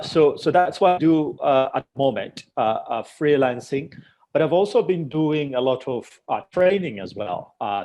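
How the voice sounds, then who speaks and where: A man's voice: fairly low pitch, a little mellow, rough recording. A Tanzanian man whose first language is Swahili, a journalist answering interview questions with many fillers and restarts. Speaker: journalist guest.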